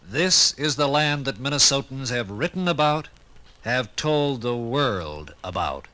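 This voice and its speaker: Bright-voiced American 1950s radio narrator, male. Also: mid-range voice, very animated. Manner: formal, measured, dramatic phrasing.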